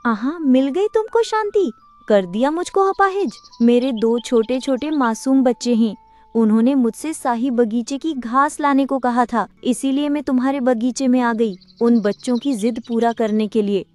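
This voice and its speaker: A woman's voice: a little high, moderate pace, a little mellow, soft background music, close to an everyday voice. A woman voicing a mother goat in a Hindi cartoon who argues back against an unjust king. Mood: bitter. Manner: pleading, then defiant and cursing. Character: wronged mother goat, proud and defiant.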